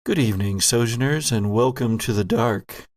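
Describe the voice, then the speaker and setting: A man hosting and game mastering a horror audio drama, narrating with theatrical, deliberate phrasing. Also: medium pitch, no echo, clean studio sound.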